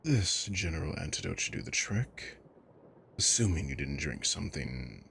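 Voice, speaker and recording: A man playing a coolly mocking, possessive villain in an ASMR roleplay, with a husky, breathy voice and a deliberate, menacing drawl. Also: a bass voice, sing-song, fairly bright tone, slight background noise, full character voice. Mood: musing. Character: sadistic male villain, coolly mocking, possessive, taunting his captive. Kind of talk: ASMR roleplay audio drama.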